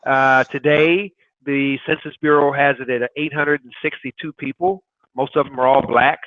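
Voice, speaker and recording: Curious host on a tinny call line. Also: male voice, medium pitch, steady tone, normal speed. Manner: curious, asks follow-up questions, laughs along. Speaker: interview host. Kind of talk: online interview show. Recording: tinny phone-like call audio.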